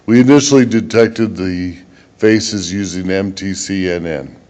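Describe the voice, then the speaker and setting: A man giving a technical conference presentation as a researcher, in a measured, halting way with occasional stumbles. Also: sits low, a little mellow.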